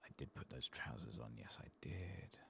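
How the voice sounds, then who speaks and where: A man giving soft-spoken, partly whispered ASMR commentary over a video game, murmuring and thinking aloud in an unhurried way. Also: rumbling low, lively intonation, creaky, slight background noise. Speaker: ASMR gaming streamer.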